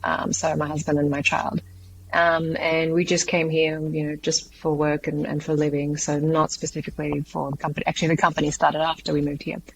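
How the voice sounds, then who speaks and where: A woman with an Australian accent answering interview questions, thoughtful, with many pauses and "um"s. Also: sits low, monotone, creaky, slight background noise, poor audio. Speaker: guest, business founder.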